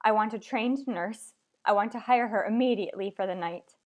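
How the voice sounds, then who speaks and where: A woman's voice: mid-range voice, a little fast, close to an everyday voice. A woman playing a nervous, imperious invalid in an old-time radio thriller, agitated and pleading. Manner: demanding, agitated, breathless pleading.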